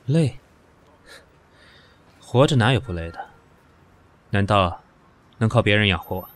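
A man's voice: on the low side, lively intonation, measured pace. An actor playing a composed, sardonic, stern professional man in an audio drama, dry and commanding. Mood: stern, weary. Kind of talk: Chinese audio drama acting.

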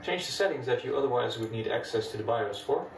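A man's voice: mid-range voice, quick pace, a little hiss or hum. Dutch-accented man in an echoey room. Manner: relaxed, chatty, explains step by step. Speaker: retro computing video host. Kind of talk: casual hardware demo narration. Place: room with old computers. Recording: echoey room, mic at a distance.

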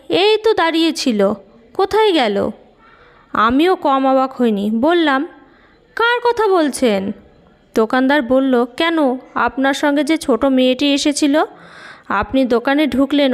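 A woman playing a tender but resolute mother in an audio horror story, telling things in an expressive, intimate way. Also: high-pitched. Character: Monika, tender resolute mother sensing a child ghost.

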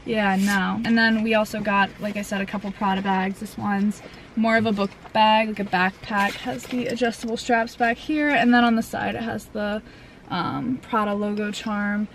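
A woman's voice: mid-range voice, fairly even intonation, moderate pace, a little hiss or hum. Chatty young woman vlogging from a car. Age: 20s. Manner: chatty, lots of "like" and "um". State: slightly hoarse, getting a cold. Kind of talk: casual chat to camera. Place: parked car. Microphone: camera mounted on dashboard.

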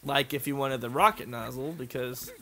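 A man's voice: mid-range voice, normal speed, fairly bright tone. A young man co-hosting a gaming show, chatting casually and jokily while he plays. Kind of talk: casual joking chat over video game play.

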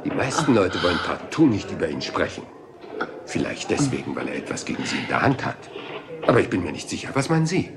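Ein zerknautschter, beharrlicher Polizeiinspektor, der sich höflich und umständlich vortastet. Er redet rasch, mit tiefer Stimme und viel Auf und Ab in der Melodie. Im Hintergrund liegt ein deutliches Rauschen der TV-Tonspur. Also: male voice, sits low, very animated, quick pace, creaky, a crowd in the background, exaggerated voice. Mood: probing. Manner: meandering, polite, keeps asking one more question. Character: rumpled, humble, persistent police inspector. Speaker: police inspector. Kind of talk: German dub of a TV crime drama.

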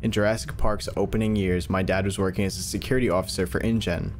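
A man's voice: sits low, fairly even intonation, fast, a little mellow, a little hiss or hum. A man in his twenties hosting reaction videos with casual commentary, close on a desk microphone. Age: 20s. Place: small furnished room indoors.

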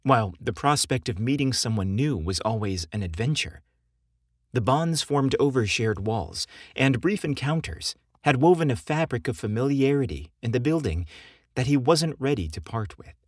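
Expressive male storyteller, dry close sound. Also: medium pitch, normal speed, no echo. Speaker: podcast story narrator. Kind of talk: scripted narration of romantic fiction.

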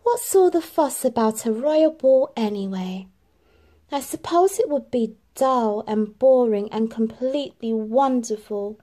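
Young storybook heroine played by a female reader: sweet, earnest, wistful, lifted pitch with lively swings. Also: a little slow. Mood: wistful, sad.

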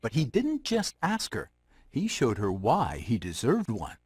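A theatrical fairy-tale narrator for a video game. His husky voice swings widely through playful, dramatic phrases. The sound is close and dry. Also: medium pitch, wildly swooping pitch, unhurried, a little mellow, dry recording, heavily acted. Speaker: storybook narrator.

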